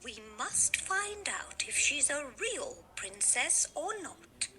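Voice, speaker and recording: Slow, high-voiced storybook queen played by a woman. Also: big pitch swings, bright, forceful tone, exaggerated voice, rough recording. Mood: suspicious. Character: queen, scheming and suspicious storybook royal woman. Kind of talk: children's story acting.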